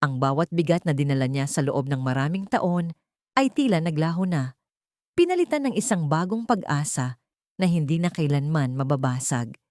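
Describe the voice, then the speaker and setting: A woman tells a dramatic story in a somewhat low voice. Her phrasing is measured and sentimental, like a scripted audio drama. The sound is very dry, with no room on it. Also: lively intonation, a little mellow, dry recording.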